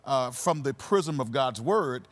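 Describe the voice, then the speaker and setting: Man, pastor hosting a podcast, average pitch, measured and affirming delivery. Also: lively intonation. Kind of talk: conversational interview.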